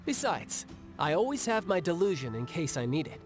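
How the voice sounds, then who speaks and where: A man's voice: a little high, sing-song, moderate pace, faint music behind. A man playing a confident, playful young fighter in a video game, breezy and nonchalant in his delivery. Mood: puzzled. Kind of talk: video game character dialogue.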